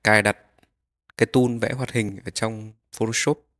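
A man narrating a step-by-step software tutorial, explaining each click as he goes. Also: lowish voice, steady tone, normal speed.

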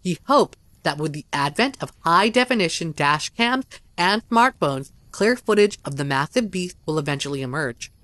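A narrator with a lively, rising-and-falling delivery reads a scripted documentary story. The voice sits close and dry, with no room sound around it. The reading is measured and even, with no slips. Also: mid-range voice, no echo.

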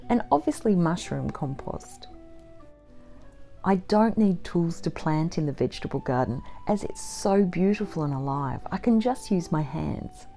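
A middle-aged woman giving a calm, measured voice-over about her homestead, with soft background music. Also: lowish voice, creaky. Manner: gentle, measured and matter-of-fact. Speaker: homesteading vlogger. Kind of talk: calm scripted voice-over narration. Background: faint instrumental music.

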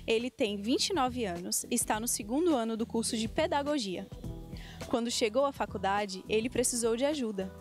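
A woman narrates a television feature in a measured, clear news voice. Her pitch sits a bit high, and soft music plays under her. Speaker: TV magazine reporter.

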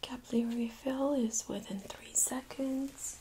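A young woman whispers softly and breathily in a higher-pitched voice, gentle and unhurried. She plays a medical examiner in an ASMR role-play. Noise and small clicks sit clearly behind her voice. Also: fairly even intonation. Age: young adult. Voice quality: soft, breathy, mostly whispered. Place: small carpeted exam room, indoors. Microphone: USB microphone on a stand nearby.

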